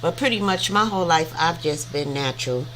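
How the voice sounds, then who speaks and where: A woman's voice: low voice, lively intonation, brisk, fairly bright tone, a little hiss or hum, rough recording. A woman in her fifties hosting a casual livestream chat, rambling and chatty as she thanks viewers by name. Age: 50s. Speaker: livestream host.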